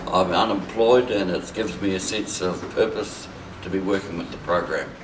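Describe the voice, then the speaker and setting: A man's voice: low-pitched, moderate pace. A man giving an unscripted testimonial as a volunteer. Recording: echoey, distant mic, background noise.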